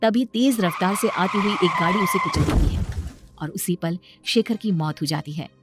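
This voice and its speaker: A woman's voice: lowish voice, moderate pace, over loud music. A woman reading scripted narration as the storyteller of a moral tale.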